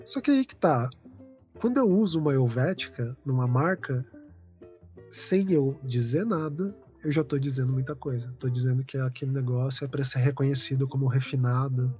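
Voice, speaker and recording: A male designer talks casually on a podcast, circling his points with fillers and restarts. His voice sits in an ordinary middle range. Soft music plays faintly behind him. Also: mid-range voice, normal speed. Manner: loose, rambling explanation with many fillers. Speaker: designer guest.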